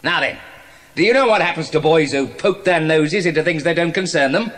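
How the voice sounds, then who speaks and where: An actor playing a menacing English gang boss in an audio drama, bossy, commanding and threatening. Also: male voice, a little high, a little fast, fairly bright tone, natural voice. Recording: clearly noisy recording.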